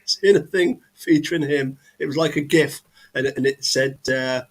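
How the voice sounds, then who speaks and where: A man's voice: fairly high pitch, normal speed. A man from England hosting a casual live chat over a video call, speaking hesitantly with many restarts and fillers. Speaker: host.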